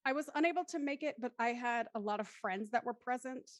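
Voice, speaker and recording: A woman's voice: on the high side, brisk, no room sound, clean studio sound. A woman hosting a casual online panel discussion about a show. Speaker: host of an online audio drama show.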